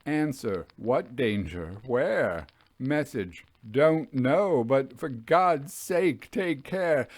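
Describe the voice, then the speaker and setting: A man voicing a troubled, haunted railway signal-man, earnest and deferential, in a halting, deliberate dramatic ghost-story reading. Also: mid-range voice, animated, dry recording. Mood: anguished, fretful.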